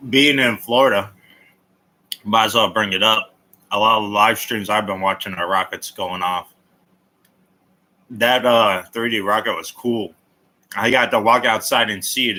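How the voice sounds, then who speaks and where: A man's voice: on the low side, pushed and bright, poor audio. A man chatting casually on a livestream, rambling between topics and answering viewer comments in a blunt, opinionated way. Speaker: livestream host.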